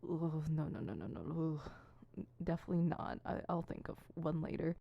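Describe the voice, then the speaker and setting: A woman's voice: low-pitched, very animated, moderate pace, gravelly, no room sound, lo-fi sound, full character voice. A woman playing an insecure, theatrical supervillain rehearsing monologues in an ASMR roleplay, switching between fumbling mutters and pompous recital. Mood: flustered, self-critical. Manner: fumbling self-conscious muttering broken by pompous verse recital. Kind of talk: ASMR roleplay, scripted solo audio drama.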